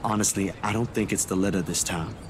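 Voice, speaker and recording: A man's voice: low voice, fairly even intonation, fast, lightly acted. A man playing an earnest, protective and stubborn young man in a visual novel game. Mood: concerned, insistent. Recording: clear background noise.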